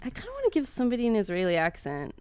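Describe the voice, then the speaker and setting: A woman's voice: fairly high pitch, huge pitch swings, racing, darkish tone, clean tone, no echo. A woman guest on a comedy podcast, reading scripture aloud with playful drama and chatting in between. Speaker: guest reader.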